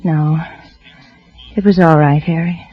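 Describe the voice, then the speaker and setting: An actress playing a gentle, forgiving wife, soothing and subdued, in 1940s radio style. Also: female voice, low voice, fairly even intonation, takes it slowly, slightly rough, no room sound, soft background music, full character voice. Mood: tender, sad. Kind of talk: 1940s radio drama acting. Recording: muffled old radio broadcast, distant.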